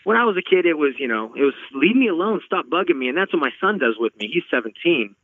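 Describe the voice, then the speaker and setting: Talkative middle-aged host on a thin line. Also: male voice, fairly high pitch, big pitch swings, fast talker, no echo, narrow, tinny audio. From Los Angeles, California. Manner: talkative, earnest, repeats words when starting sentences. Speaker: podcast host. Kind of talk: casual conversational chat.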